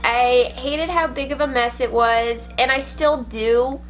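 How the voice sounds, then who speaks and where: A woman's voice: high voice, steady tone, takes it slowly, fairly bright tone, poor audio. A young woman with a congested, stuffy voice from a cold, narrating her crafts vlog in a chatty, self-mocking way. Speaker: YouTube crafts vlogger. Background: faint background music.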